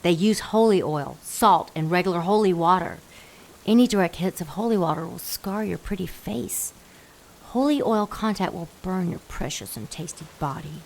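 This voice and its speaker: A woman's voice: lowish voice, normal speed, vocal fry, a little mellow, low-quality recording. A woman playing a coy, scheming and seductive demon woman in an audio drama. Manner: coy, teasing, commanding.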